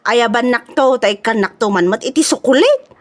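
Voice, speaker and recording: A woman's voice: fairly high pitch, expressive, a little fast, natural voice. A woman playing a nosy, envious female neighbour in a comedy audio drama, gossipy and exclamatory, with an Ilocano accent. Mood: eager gossip.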